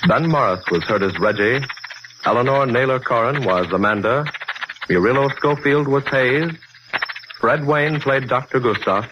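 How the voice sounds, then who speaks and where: An American man announcing a scripted radio programme in a formal, dramatic 1940s style. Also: mid-range voice. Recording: noisy, crackly 1940s radio recording.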